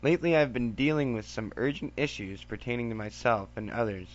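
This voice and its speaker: Male podcast host, solemn reflective narration, formal phrasing, mid pitch, dull basic home recording. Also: fairly quick. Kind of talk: scripted reflective monologue. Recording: muffled lo-fi amateur recording.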